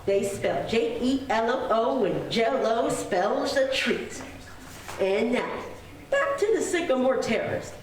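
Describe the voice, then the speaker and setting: A woman's voice: on the high side, animated, slow pace. A woman announcer reading a scripted advertisement and narration in the style of old-time radio. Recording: very echoey room, distant mic, noisy.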